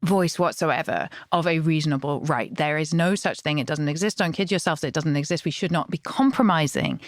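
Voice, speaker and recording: A woman's voice: low voice, fairly quick, no echo, clean studio sound. A woman speaking as a podcast guest in an informal, impassioned discussion, stressing points by repeating phrases. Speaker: podcast guest, migration policy advocate.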